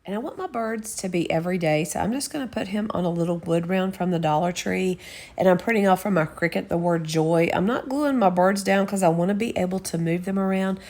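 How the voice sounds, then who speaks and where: A Southern woman with a somewhat low voice, a little hoarse and scratchy from a cold. She talks through each craft step in a chatty, homey way, like a friendly channel host. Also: brisk, a little mellow. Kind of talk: casual DIY voice-over.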